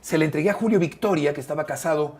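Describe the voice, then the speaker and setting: Expressive Mexican man in his fifties. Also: a little high, racing. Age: 50s. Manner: expressive, emphatic delivery with frequent "eh" fillers. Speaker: sports commentator guest. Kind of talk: conversational interview storytelling.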